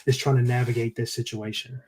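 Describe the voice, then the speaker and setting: A man hosting a podcast, asking a long question and carefully searching for the right words, with many pauses and "uh"s. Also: medium pitch, little pitch movement, a little mellow. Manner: hesitant, searches for words, fills with "uh". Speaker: podcast host. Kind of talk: interview question.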